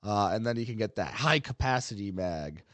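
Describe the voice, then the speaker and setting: A man's voice: fairly low pitch, animated, normal speed, fairly bright tone, dry recording. A man co-hosting a casual podcast about a game, rambling with frequent ums and uhs and laughing easily. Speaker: podcast co-host.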